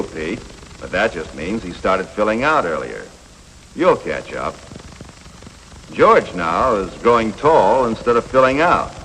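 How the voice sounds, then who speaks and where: A man's voice: fairly low pitch, a bit bright. An actor playing a patient, matter-of-fact instructor of boys in a 1950s educational film, an American man who explains things plainly and reassuringly. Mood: patient, explanatory. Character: patient, matter-of-fact male coach teaching adolescent boys. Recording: noisy, reverberant 1950s film soundtrack.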